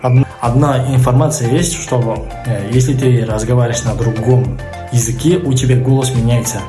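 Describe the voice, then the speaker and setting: Halting man vlogging. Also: medium pitch, steady tone, over music. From Kazakhstan. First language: Kazakh. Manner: halting, searching for words, many fillers. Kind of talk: casual vlog talk.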